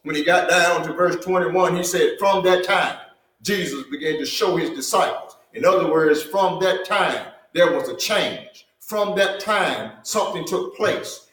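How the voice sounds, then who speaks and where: High-pitched guy preaching, keeps repeating lines for punch, roomy echo, mic kinda far. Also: fairly bright tone. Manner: preaching with rising emphatic repetition. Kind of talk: sermon. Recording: echoey room from far off.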